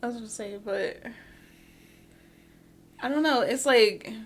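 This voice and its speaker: A woman's voice: a little high, a little slow. A woman around thirty co-hosting a podcast, talking in a reflective, easygoing way and laughing along often. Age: 30s. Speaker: podcast co-host. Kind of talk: casual chat.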